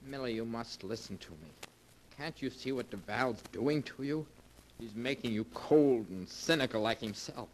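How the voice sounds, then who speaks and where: A man's voice: mid-range voice, moderate pace, a little hiss or hum, lightly acted, rough recording. An actor as a pleading, lovesick young man. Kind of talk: 1940s radio acting.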